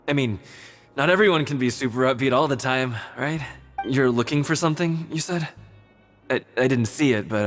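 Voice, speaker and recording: Man as big nervous game character, mid-pitched, stammering, evasive, long reverb, faint music. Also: fairly bright tone, close to an everyday voice. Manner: stammering, hesitant. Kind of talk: video game voice acting.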